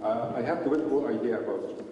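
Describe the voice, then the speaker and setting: A man's voice: mid-range voice, fast. Hesitant man asking a question in an echoey hall. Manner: hesitant, many fillers and restarts. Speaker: audience member asking a question. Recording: echoey hall, distant mic, background noise.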